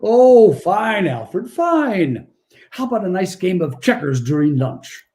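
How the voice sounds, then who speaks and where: A man acting a kindly, merry old Santa Claus in a 1940s-style radio play, with gentle, courtly manners. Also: high-pitched, sing-song, takes it slowly, full character voice. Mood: concerned, friendly.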